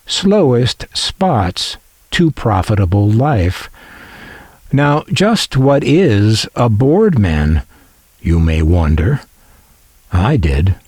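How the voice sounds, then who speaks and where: Male narrator, slow-paced and expressive, wry and folksy, close dry sound. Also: medium pitch, no room sound. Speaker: collector and narrator. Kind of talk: scripted narration.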